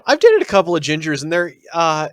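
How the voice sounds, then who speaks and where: A man co-hosting a casual podcast, reviewing drinks in a wry, deliberate way. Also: a little high, huge pitch swings, a little hiss or hum. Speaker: podcast co-host. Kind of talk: casual chat. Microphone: studio mic.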